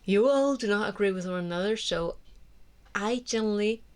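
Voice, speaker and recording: A woman's voice: medium pitch, normal speed, darkish tone. A woman from Iceland, speaking English as a second language with an Icelandic accent, chatting to camera in a rambling way that wanders off on tangents. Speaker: book vlogger. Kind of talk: casual monologue to camera.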